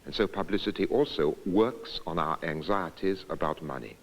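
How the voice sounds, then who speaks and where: A man giving scripted documentary narration in a measured, deliberate, reflective way. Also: low-pitched, low-quality recording.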